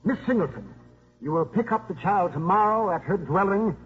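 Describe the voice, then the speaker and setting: High-voiced, exasperated judge played by a man, old radio. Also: normal speed, close to an everyday voice. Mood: testy, official. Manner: testy, exasperated authority. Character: exasperated, wry juvenile court judge. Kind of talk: 1950s radio acting. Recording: muffled, noisy 1950s radio recording with audience.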